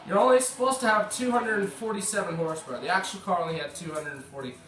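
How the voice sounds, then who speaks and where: A slightly sick American man chats loosely through his gameplay, correcting himself as he goes. His voice sits a touch high for a man and carries the echo of a bare room. He is a little away from the mic, with faint music underneath. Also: fast talker, a bit bright, recorded from a distance, slight background noise. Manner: chatty, rambling, corrects himself. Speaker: gaming video creator. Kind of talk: casual gameplay commentary.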